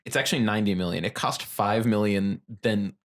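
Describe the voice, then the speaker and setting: A man's voice: fairly low pitch, a little fast. A man co-hosting a podcast, casually talking movies in a blunt way with some swearing. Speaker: podcast co-host.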